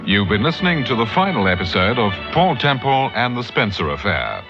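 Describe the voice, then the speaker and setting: A man's voice: medium pitch, sing-song, fairly quick, dull audio, music in the background. A man with a British accent making a formal announcement as a mid-century radio announcer on an old recording.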